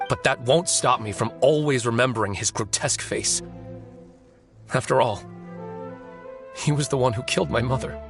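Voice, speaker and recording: A man acting as a weary male novelist in a dramatic game story, medium in pitch and set over music. He speaks with polite, measured composure, clearly articulated, like someone resigned to confessing his revenge. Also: fairly bright tone, lightly acted. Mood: composed, bitter. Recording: music behind the voice.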